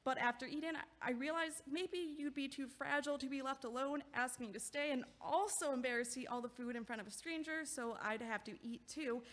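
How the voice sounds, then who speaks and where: A woman's voice: high-pitched, a little fast, hardly any pauses, fairly bright tone. A woman playing a nervous, over-considerate young woman in an audio play, rambling and correcting herself as she over-explains. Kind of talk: audio play acting, comedic monologue. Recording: echoey hallway-like long reverb.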